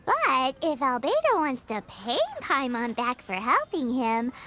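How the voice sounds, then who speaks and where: A woman's voice: sits high, big pitch swings, unhurried, noisy background. A woman voicing a tiny chirpy female fairy-like companion in a video game, cheeky and speaking of herself by name. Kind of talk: video game cutscene dialogue. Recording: game cutscene with music behind. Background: game music.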